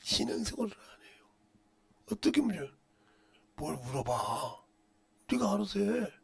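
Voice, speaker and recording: A middle-aged man preaching as a pastor in a blunt, scolding manner, firing rhetorical questions and swinging between muttered asides and loud outbursts. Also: very animated, a little slow, gravelly. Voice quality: loud, booming voice. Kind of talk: impassioned Korean-language sermon with rhetorical questions.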